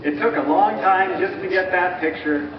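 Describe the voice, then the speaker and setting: High-voiced man narrating from far off, echoey. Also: normal speed. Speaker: narrator of a live historical dramatization. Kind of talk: scripted narration, explanatory presentation. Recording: muffled, echoey distant recording with clear noise.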